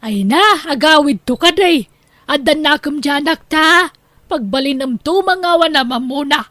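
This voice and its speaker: A woman's voice: sits high, expressive, a little slow, a bit bright, no room sound. An actress playing a money-minded, doting mother and nagging wife in an Ilocano radio drama, theatrical and exclaiming, with an Ilocano accent. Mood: unconcerned.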